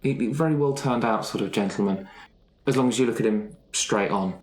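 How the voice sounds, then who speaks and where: A man improvising a character description for a roleplay game, speaking in a halting, wry way. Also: lowish voice, a little mellow. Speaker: player in a roleplay podcast. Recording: roomy, echoey sound with faint noise.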